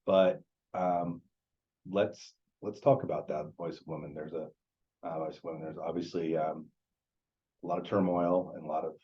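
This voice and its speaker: A man's voice: rumbling low, level tone, moderate pace, dull audio. A man hosting a casual interview, chatty and hedging with many filler words. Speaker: show host. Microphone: video or phone call.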